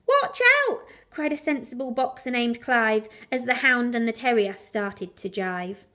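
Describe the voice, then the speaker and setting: A woman reading a children's bedtime story aloud in an animated, expressive way with dramatic emphasis on the rhymes. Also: a little high, measured pace. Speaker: primary school teacher reading a bedtime story.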